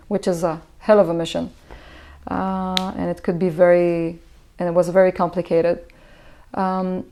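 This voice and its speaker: A woman's voice: on the low side, dark tone. A young woman with an Israeli accent, a Hebrew native speaker, giving reflective interview answers as a musician, with many hesitations and restarts.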